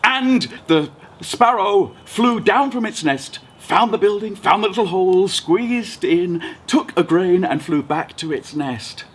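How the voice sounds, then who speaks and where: Animated male storyteller with swooping pitch. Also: measured pace, breathy edge. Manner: dramatic, expressive, uses repetition. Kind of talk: oral storytelling of a folk tale. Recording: noticeable background noise.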